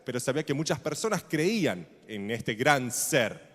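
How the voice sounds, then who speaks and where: A man giving a measured testimony lecture to a congregation. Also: mid-range voice, very animated, in a large hall.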